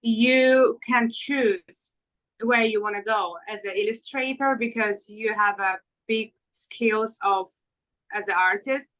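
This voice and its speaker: A woman in her thirties from Ukraine, a fashion designer answering interview questions in halting with many fillers. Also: a little high, a bit bright, poor audio. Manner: halting non-native English with fillers.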